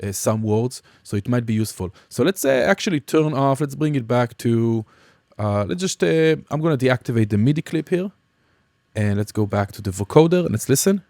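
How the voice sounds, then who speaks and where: A man teaching music production in a casual software demonstration, explaining informally and somewhat hesitantly step by step. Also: mid-range voice, lively intonation, brisk, no room sound, clean studio sound. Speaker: music production tutorial instructor.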